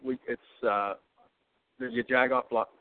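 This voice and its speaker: A male host chatting in an easygoing, halting way with lots of fillers. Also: medium pitch, fairly even intonation, smooth. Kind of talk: casual chat. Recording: tinny phone-like sound.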